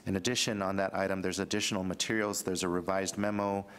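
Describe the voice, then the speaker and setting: A man in his sixties at a desk microphone in a board chamber, reading corrections to the agenda in a halting way with fillers. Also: low voice, flat delivery, a bit bright, clean tone. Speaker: county administrator. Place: large county board chamber.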